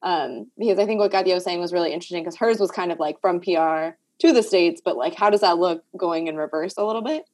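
Woman host, reflective conversational tone, slightly low pitch. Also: quick pace, hardly any pauses, a little mellow. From Puerto Rico. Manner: reflective, heavy use of "like" fillers. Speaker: podcast host. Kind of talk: casual conversational discussion.

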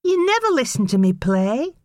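A woman's voice: sing-song, moderate pace, darkish tone, no room sound, clean studio sound. A woman voicing a young boy who pleads tearfully with his parents. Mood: pleading. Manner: pleading, high childish voice.

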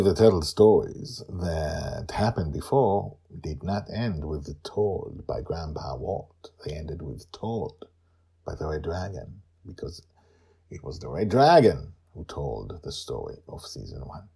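Deep, husky, animated male storyteller. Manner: theatrical, emphatic. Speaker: storyteller and host. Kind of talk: dramatic scripted storytelling with asides to listeners.

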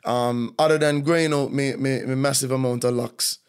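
A man hosting a casual chat, relaxed and affirming, thinking out loud with restarts and frequent murmurs of agreement. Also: medium pitch, normal speed, clean tone.

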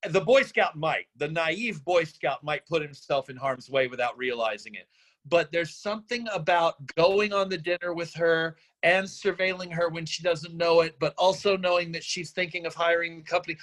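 A man with a fairly high voice talks through his critique in a group workshop. He is analytical and deliberate, building his points as he speaks. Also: fairly bright tone, poor audio. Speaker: readers theatre workshop participant. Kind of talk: giving script feedback in a group discussion.

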